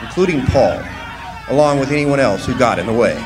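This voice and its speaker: A man's voice: medium pitch, animated, fairly quick, a crowd in the background, lightly acted. Wry miner narrator in a reverberant hall male actor. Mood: wry, matter-of-fact. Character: wry, plainspoken striking miner telling his story. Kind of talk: scripted radio drama narration. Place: live stage performance in a hall. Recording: long hall reverb, faint noise.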